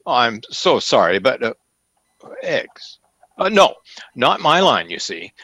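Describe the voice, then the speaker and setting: An actor playing an aloof, overly genteel store clerk with mannered, halting politeness, in old-time radio comedy style. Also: male voice, mid-range voice, very animated, pushed and bright, full character voice. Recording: dull, dry old radio recording.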